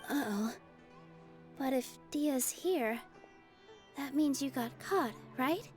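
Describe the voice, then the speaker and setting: A woman's voice: high-pitched, animated, slow, soft background music. An actress voicing a friendly, cheerful young woman performer in a video game. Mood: friendly, curious. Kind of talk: video game character dialogue.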